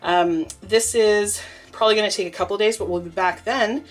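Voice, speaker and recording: A woman's voice: mid-range voice, steady tone, fairly quick. Young woman, sniffly stuffy nose, chatty, laughs a lot, rambling with fillers, faint music behind. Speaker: soap maker. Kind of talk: casual vlog narration while working.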